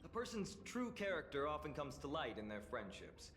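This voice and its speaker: Earnest young hero, sincere, lively pitch, echoey with faint music. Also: male voice, normal speed. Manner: warm-hearted, sincere, reflective. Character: earnest young male hero, friendly and thoughtful.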